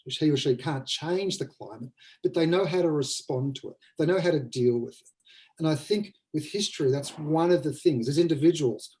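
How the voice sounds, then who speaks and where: Mellow, higher-pitched man thinking aloud. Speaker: playwright guest. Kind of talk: conversational panel discussion.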